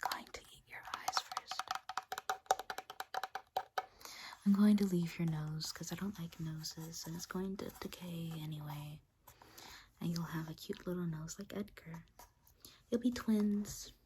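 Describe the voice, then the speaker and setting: A woman playing a menacing spider demon in an ASMR roleplay, whispering breathily in a rambling, deadpan, casually cruel way close to the mic. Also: fairly low pitch, a little slow, close to an everyday voice. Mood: chatty, menacing. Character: menacing female spider demon toying with her human prey. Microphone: close ASMR microphone. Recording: hissy close recording with clicks.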